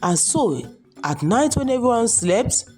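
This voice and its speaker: A woman's voice: a little high, very animated, a little slow, darkish tone. A woman storyteller reading a scripted folktale narration. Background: music behind the voice.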